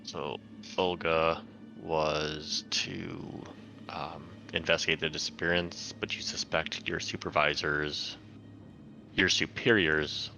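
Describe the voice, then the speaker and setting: Male tabletop player, bottomless creaky voice with a forceful edge, reading game notes aloud. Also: rumbling low, little pitch movement, slow, soft background music. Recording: faint background noise.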